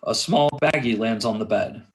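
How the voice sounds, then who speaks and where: A man narrating stage directions at a film script table read, halting and sometimes stumbling over his words. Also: fairly low pitch, rough recording. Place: indoors on a remote internet call.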